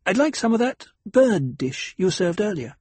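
A man voicing a dark-haired young dinner guest who talks in a soft, sweetly coaxing way. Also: sits high, sing-song, moderate pace, breathy edge, no room sound, pitched above the performer's own voice, full character voice.

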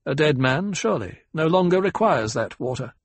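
A man voicing a calm, quietly formidable ecologist in an audiobook, speaking in a measured, lecturing and assured manner. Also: fairly high pitch, creaky, husky, dry recording, voice pushed up, heavily acted.

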